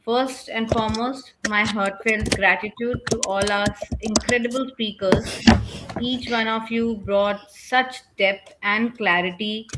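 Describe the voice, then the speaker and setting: A woman giving an earnest, measured vote of thanks at the close of an online webinar. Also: on the high side, fairly even intonation, heavy background noise. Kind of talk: read formal thank-you speech. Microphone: webinar video call.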